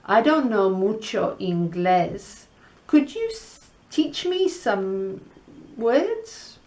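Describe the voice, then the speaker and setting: A woman's voice: medium pitch, big pitch swings, extremely slow, a little mellow. A woman voicing a shy, sheepish young Spanish boy in a storybook, mumbling and hesitant.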